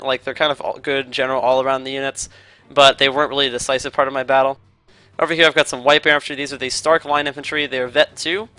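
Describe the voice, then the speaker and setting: A man's voice: mid-range voice, pushed and bright, clear, resonant tone, no room sound. A man giving upbeat play-by-play commentary on a strategy game replay, his voice still a little scratchy from being sick. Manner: upbeat, brisk play-by-play explaining. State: recovering from illness, voice a bit scratchy. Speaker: gaming commentator.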